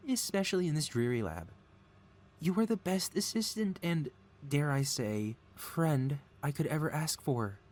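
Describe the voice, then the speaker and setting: A man playing a possessive mad scientist supervillain boss in an ASMR audio roleplay, talking in a soothing, coaxing, flattering way as if innocent. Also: mid-range voice, close to an everyday voice. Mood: adoring, coaxing. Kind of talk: ASMR audio roleplay, intimate one-sided dialogue.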